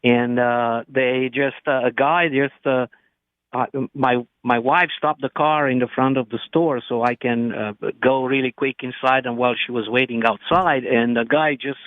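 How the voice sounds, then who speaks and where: Male caller, halting, heard over a thin phone line. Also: mid-range voice, fairly even intonation, no echo. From Romania. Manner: halting, broken phrasing, many fillers.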